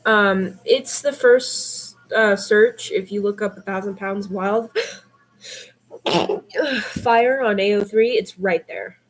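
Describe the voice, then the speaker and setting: A woman's voice: medium pitch, slow, poor audio. A woman reading fiction aloud in an informal, slightly unpolished storytelling style. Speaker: podfic narrator reading fan fiction.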